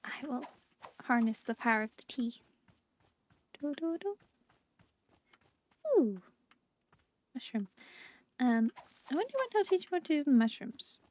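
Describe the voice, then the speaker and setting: A woman's voice: high voice, lively intonation, a little slow, long pauses, a little mellow. A woman giving casual, chatty video game commentary, murmuring asides to herself. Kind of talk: casual gameplay commentary with dialogue read aloud. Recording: game music behind the voice.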